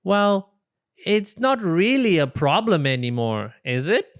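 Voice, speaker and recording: A storybook reader voicing a worried, exasperated little rabbit, protesting with expressive emphasis. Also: mid-range voice, slow, clear, resonant tone. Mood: urgent, eager.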